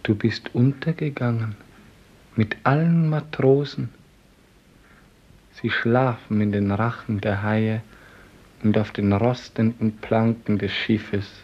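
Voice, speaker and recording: An actor playing a devoted, dreaming clerk in love, speaking solemn poetic lines in a 1950s German radio play. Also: male voice, lowish voice, fairly even intonation. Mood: tender, rapturous. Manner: solemn, poetic, slow declamation. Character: devoted dreaming office clerk, tender and rapturous lover. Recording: dull 1950s radio recording.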